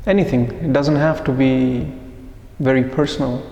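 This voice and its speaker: A man leading a guided meditation session for a group, speaking in measured, deliberate phrases with pauses. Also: medium pitch, steady tone, warm tone, lo-fi sound. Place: echoey indoor room, live group session. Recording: echoey room with long reverb.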